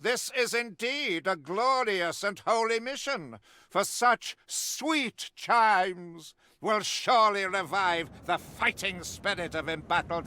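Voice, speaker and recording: A man's voice: high-pitched, sharp tone, slight background noise, heavily acted. A male actor playing a stern, fanatical witch hunter in a video game, declaiming in pompous, archaic, theatrical speech. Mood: fervent, righteous.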